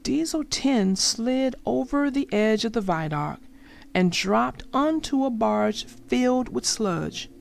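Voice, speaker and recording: A woman narrating a scripted children's read-aloud as an expressive storyteller. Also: on the high side, takes it slowly, creaky. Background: music.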